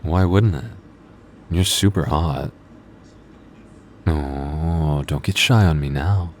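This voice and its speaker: Very deep, smug male vampire played by a man. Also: slow pace, slight background noise. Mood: flirty, teasing. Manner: smug, flirty, dry teasing with sighs and chuckles. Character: cocky, teasing, moody male vampire convenience-store coworker. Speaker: voice actor in audio roleplay. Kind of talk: intimate ASMR roleplay acting.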